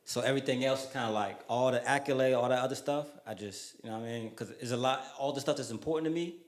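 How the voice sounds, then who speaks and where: Quick-talking laid-back man in his thirties. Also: mid-range voice. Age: 30s. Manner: laid-back, trails off, repeats words, slangy. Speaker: podcast host. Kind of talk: casual chat. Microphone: studio podcast mic he set up himself.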